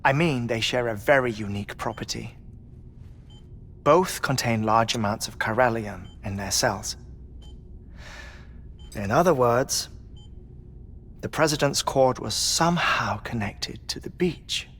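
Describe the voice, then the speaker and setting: A man playing an erudite scientist in a video game cutscene, explaining theories in a precise, formal, measured way. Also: medium pitch, a bit bright, husky. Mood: measured, explanatory. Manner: lecturing, precise, formal diction. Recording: music behind the voice.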